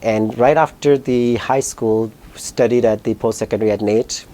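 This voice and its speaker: A man in his thirties from Pakistan with a Pakistani accent, answering interview questions in a fast, animated way. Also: fairly low pitch. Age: 30s. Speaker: guest, company founder.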